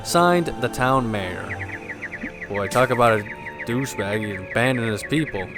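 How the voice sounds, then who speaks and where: A man hosting a gameplay video, mixing casual commentary with lore read aloud, in a somewhat halting delivery. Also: medium pitch, expressive. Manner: halting, stumbles while reading aloud. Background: game music.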